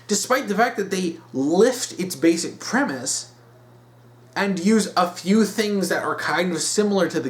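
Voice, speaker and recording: A man with a fairly high voice delivers a casual opinion rant. His pitch swings widely as he stresses his points, and he sounds blunt and exasperated. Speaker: online commentator.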